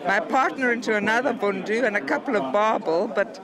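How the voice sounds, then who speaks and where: A woman's voice: medium pitch, fairly quick, low-quality recording. A woman giving a casual interview recap as a competitor. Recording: very loud crowd behind.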